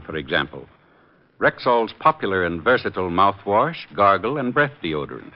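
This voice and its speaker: An American actor playing an earnest neighborhood druggist, reading a measured, formal 1940s radio advertisement. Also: male voice, on the low side. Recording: dull old radio recording.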